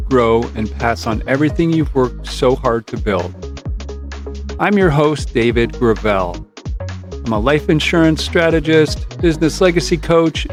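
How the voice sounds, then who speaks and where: A middle-aged man hosting a podcast interview, friendly and a little halting, with fillers and restarts. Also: mid-range voice. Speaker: podcast host.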